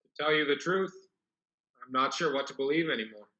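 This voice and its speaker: A man's voice: mid-range voice, fairly quick, bright, forceful tone, dull audio, lightly acted. A man playing a frank, doubtful biblical disciple in a church dramatic reading.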